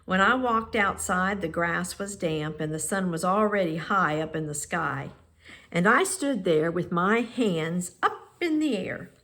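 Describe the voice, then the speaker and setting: A woman reading a children's picture book aloud in measured, expressive phrases with pauses. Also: lowish voice. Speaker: storybook reader.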